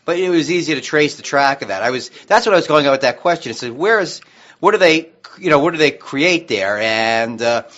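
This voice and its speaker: An American man co-hosting a radio show in casual discussion, rambling haltingly and often restarting mid-sentence. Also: a little high, expressive, a bit bright, dull audio.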